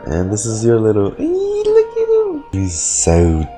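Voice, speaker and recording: Female reaction streamer, very deep for a woman, lively and playful, game music behind. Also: measured pace. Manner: playful, slangy, swearing. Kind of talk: casual live reaction commentary.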